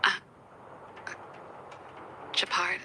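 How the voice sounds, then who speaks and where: An actress voicing a reflective, candid adult sister in a video game, with a breathy, husky voice and a measured, gently wry, confiding way of talking. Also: female voice, background noise. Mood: reflective, resolved. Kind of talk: English video game voice acting.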